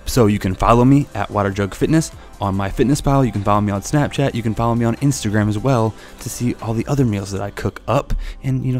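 A man hosting a fitness channel, talking casually through a meal prep voice-over with a few fillers. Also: fairly low pitch. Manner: relaxed, casual explanations with fillers. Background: faint background music.

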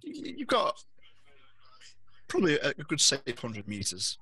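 A man's voice: mid-range voice, huge pitch swings, a little slow, pushed and bright, slightly rough. A man narrating a tabletop roleplaying game as game master over a headset. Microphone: headset on a video call.

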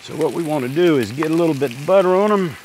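A man's voice: a little high, fast, background noise. An older man hosting a cooking demonstration, talking in an easygoing, homespun way while he works at a griddle on an outdoor deck. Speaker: cooking show host. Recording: outdoor recording with loud griddle sizzle.

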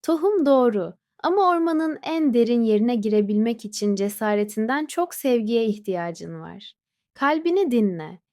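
A woman voicing a tiny glittering wind fairy in a storybook, speaking softly and calmly with wise encouragement. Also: on the high side, normal speed, dry recording, clean studio sound. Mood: gentle.

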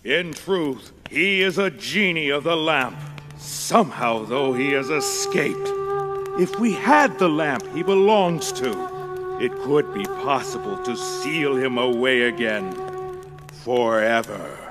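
A man's voice: mid-range voice, animated, takes it slowly, music in the background. An actor playing a grand old skeletal king in a video game, courtly and grave, with formal, theatrical phrasing. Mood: grave explaining. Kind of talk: video game cutscene acting.

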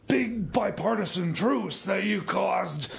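A man's voice: high voice, animated, slow pace, gravelly, over a noisy crowd, full character voice. A man playing a jittery, scheming inmate in a comedy radio play, stammering and rambling in fragments. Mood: hesitant, scheming. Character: jittery scheming male inmate cellmate making shady deals. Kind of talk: improvised comedy radio theater.

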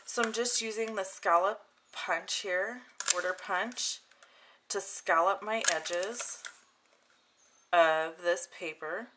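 A woman's voice: mid-range voice, slow. A woman casually narrating a hands-on craft demonstration as its host, with informal, chatty phrasing. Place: indoor craft desk. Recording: clear background noise with clicking.

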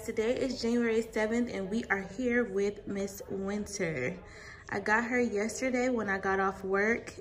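A woman in her twenties chatting casually as a vlogger into a phone held at arm's length. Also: mid-range voice. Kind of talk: casual vlog chat. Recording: clear background noise on phone audio.

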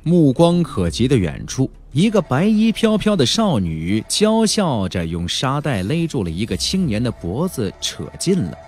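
Animated, husky-voiced male audiobook narrator. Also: mid-range voice. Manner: theatrical, emphatic, drawn-out key phrases. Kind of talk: dramatic scripted narration of a fantasy novel. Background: faint background music.

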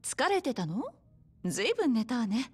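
Motherly, familiar bar hostess, high and animated, teasing, video game drama with faint music. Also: normal speed, breathy edge. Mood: relaxed, caring. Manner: easygoing, familiar, gently chiding.

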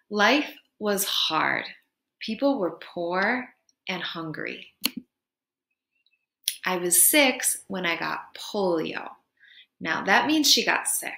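Slow, gentle young woman teacher reading aloud. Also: lowish voice, gravelly. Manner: gentle, encouraging, asks the listener questions. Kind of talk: children's read-aloud lesson. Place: small room indoors. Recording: echoey room.

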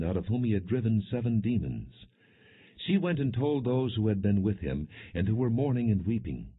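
A man narrating scripture as an audiobook, reading in a solemn, measured, expressive manner. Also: low voice, husky. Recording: dull, phone-like narrow-band audio.

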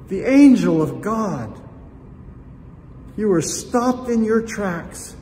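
An older male pastor gives a slow, thoughtful sermon to camera from his church sanctuary. His voice sits high for a man, and a long reverb and faint room hiss trail behind it. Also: a little mellow. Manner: reflective, addresses listeners as friends, gestures with both hands. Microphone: camera at chest height, seated facing it.

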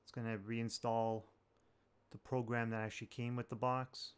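A man's voice: mid-range voice, little pitch movement, normal speed, clear, resonant tone. A man hosting a tech tutorial, talking viewers through steps in an informal, plain-spoken way. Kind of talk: casual step-by-step tutorial narration.

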